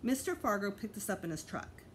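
A woman's voice: medium pitch, fairly quick, a little hiss or hum. A middle-aged woman reads a children's storybook aloud with care and expression, like a teacher to pupils. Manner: careful, expressive reading with voiced dialogue.

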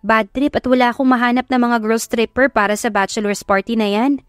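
A woman with a Filipino accent voicing a brisk, chatty female events boss in an audio drama. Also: on the high side, steady tone, clean tone, no room sound, studio quality, lightly acted. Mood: exasperated. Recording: background music under the voice.

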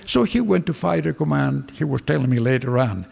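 Gravelly older man with Greek accent. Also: mid-range voice, fairly quick, big-room sound. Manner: lively storyteller, acts out dialogue with hand gestures. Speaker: veteran fighter pilot. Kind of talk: recounting war memories. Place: office with flags, indoors. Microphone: desk microphones in front.

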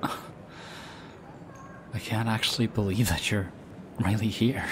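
A young man voicing an affectionate, teasing boyfriend in an audio roleplay, speaking softly and hushed. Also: lowish voice, slow pace. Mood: tender, teasing. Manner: soft, hushed, teasing with light laughs. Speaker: voice actor. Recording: steady ambient background noise. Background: busy airport ambience.

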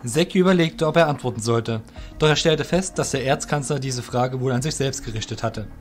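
A man's voice: mid-range voice, brisk, faint music behind. Male narrator of a fantasy tale. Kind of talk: scripted audiobook-style narration of a fantasy audio drama.

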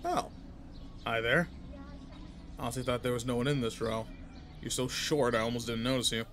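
A man's voice: mid-range voice, steady tone, normal speed, a bit bright, a little hiss or hum, close to an everyday voice. A man playing a cocky, irritable teenage delinquent in a roleplay audio drama, talking casually and teasingly straight to the listener.

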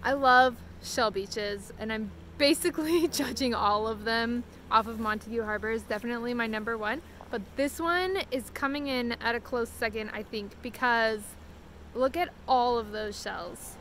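A woman's voice: a little high, normal speed, fairly bright tone, a little hiss or hum. A woman in her thirties chatting enthusiastically and giggling as she vlogs outdoors on a wooded island shore, filming on a phone held at arm's length. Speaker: sailing vlogger. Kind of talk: casual vlog chat.

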